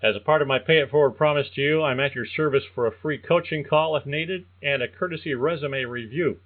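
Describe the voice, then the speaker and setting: Older man with a tinny phone-line sound. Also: fairly high pitch. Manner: measured, earnest, reads like prepared narration. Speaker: podcast host and career coach. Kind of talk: scripted podcast monologue.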